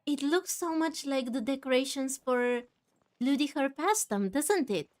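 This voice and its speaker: A woman's voice: sits high, lively intonation, measured pace, darkish tone. A woman giving casual commentary as she plays through a game, chatty and musing aloud, with game music behind. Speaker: gaming streamer. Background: faint game music.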